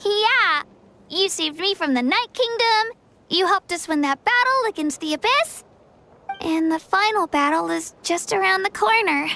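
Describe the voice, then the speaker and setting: Very high, bright young girl adventurer. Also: animated, unhurried, gravelly, a little hiss or hum, heavily acted. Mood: warm, admiring. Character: earnest, friendly young girl adventurer, admiring and encouraging. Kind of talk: anime game voice acting.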